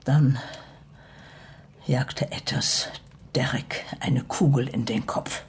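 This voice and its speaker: A woman's voice: a bass voice, fairly even intonation, slow pace, breathy edge, whispered, full character voice. A woman playing a bitter, grieving mother in a German Western radio play, recounting her past in a grave, emotional way. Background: music.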